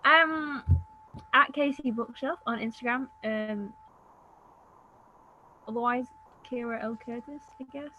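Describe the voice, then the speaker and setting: A woman's voice: a little high, takes it slowly, pauses often, sharp tone, muffled recording. A tired woman hosting a casual livestream chat, talking in a self-deprecating way. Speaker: book channel host. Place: video call. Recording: clearly noisy background.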